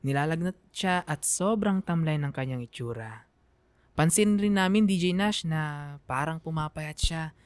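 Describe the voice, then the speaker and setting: A woman's voice: low-pitched, unhurried, a little mellow, clear, resonant tone, no room sound. A woman with a Filipino accent giving an emotive, reflective scripted narration for a radio drama.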